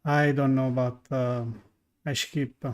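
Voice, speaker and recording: A game streamer giving casual, halting live commentary with mumbled asides. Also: mid-range voice, level tone, smooth.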